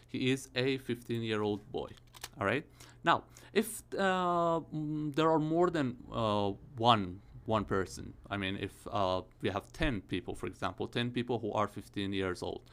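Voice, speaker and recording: A man's voice: fairly low pitch, expressive, measured pace, a bit bright. A man in his early thirties teaching English grammar for an exam, explaining step by step and often checking with "all right?" and "okay?". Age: 30s. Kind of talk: IELTS grammar lesson.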